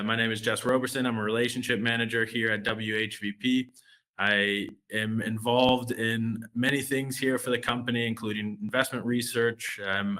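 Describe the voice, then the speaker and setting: A man's voice: mid-range voice, pushed and bright. An American man, a relationship manager, presenting a finance webinar in a loose way, with many fillers and restarts. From United States.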